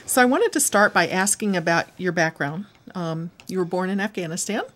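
A woman hosts a radio health interview show and keeps it moving with brisk, short prompts. Her questions and acknowledgments are quick and to the point. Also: on the low side, fairly bright tone. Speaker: radio talk show host.

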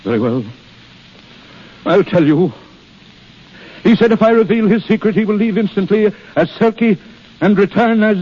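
A man's voice: high-pitched, husky. An actor playing a desperate, honorable duke and father with a formal, earnest manner in 1930s radio drama. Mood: grave dread. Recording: muffled 1930s radio broadcast with long reverb.

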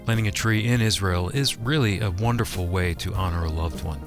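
A man's voice: sits low, a little fast. A man announcing and co-hosting a radio program in a scripted broadcast style. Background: music playing under the voice.

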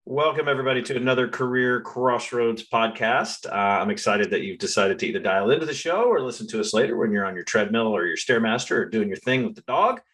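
A man hosting a podcast, chatty and lively, with frequent filler sounds. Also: mid-range voice, fast, hardly any pauses. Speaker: podcast host. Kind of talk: casual interview chat.

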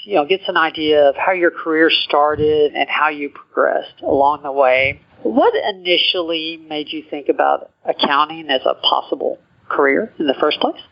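A man's voice: a little high, normal speed, creaky, few pauses. A man hosting an interview podcast, upbeat and welcoming as he introduces and questions his guest. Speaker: podcast host. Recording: muffled lo-fi audio with faint music.

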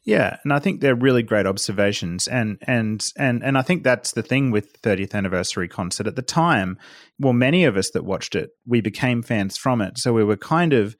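A man's voice: mid-range voice, expressive, a little fast, dry recording, clean studio sound. A man hosting a casual roundtable discussion and talking loosely with fillers and restarts. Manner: conversational, with filler words and restarts. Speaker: podcast host.

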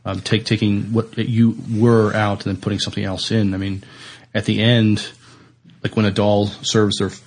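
A man in a casual podcast discussion, thinking aloud haltingly and often repeating his words. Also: on the low side, level tone. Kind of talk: casual discussion of TV science fiction.

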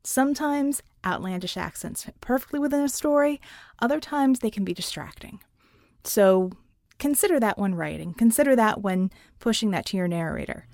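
An articulate female narrator explains things directly to her listeners. Her voice sits at a middle pitch, with deliberate emphasis on key words. The sound is close and very dry, like a studio booth. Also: expressive, gravelly, a little mellow, no echo. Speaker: audiobook narrator. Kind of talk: instructional talk to listeners.

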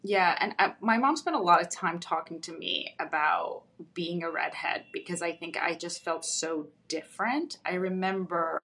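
A woman's voice: fairly low pitch, moderate pace, few pauses. A woman whose first language is Russian, a psychologist speaking as a guest and thoughtfully recounting her own childhood with frequent ums. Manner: thoughtful, with frequent ums and soft trailing ends. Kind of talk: reflective personal storytelling.

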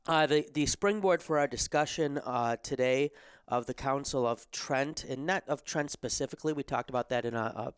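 A man delivers a recorded theology lecture from a studio, his voice slightly hoarse as he loses it. He talks haltingly, with many ums, restarts and cleared throats. The sound is dry and close, and his tone stays smooth and resonant under the strain. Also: mid-range voice, dry recording. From America. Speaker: theology lecturer and podcast host.

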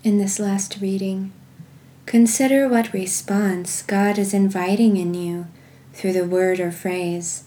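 Slow, mellow woman guiding prayer. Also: mid-range voice, fairly even intonation. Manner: measured, meditative, with pauses. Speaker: guided prayer podcast host. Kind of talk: guided contemplative prayer and scripture reading.

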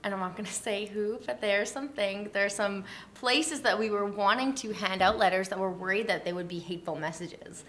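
A woman's voice: medium pitch, fairly quick, few pauses, fairly bright tone. A woman speaking as a podcast guest, earnest and informal, in casual conversational interview style.

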